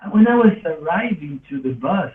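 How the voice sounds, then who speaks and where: A man's voice: a little high, animated, moderate pace. Argentinian man on a distant, phone-like line. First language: Spanish. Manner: earnest, rambling, non-native English phrasing. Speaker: podcast speaker. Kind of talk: casual autobiographical storytelling. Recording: roomy, distant, phone-like thin sound.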